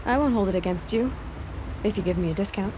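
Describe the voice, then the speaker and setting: A woman's voice: medium pitch, fast talker, lightly acted. Guarded young huntress voiced by a woman, ambient noise. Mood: dry teasing. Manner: wary, dry, terse. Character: guarded, blunt young female outcast huntress. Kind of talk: video game voice acting. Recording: clear ambient noise behind voice.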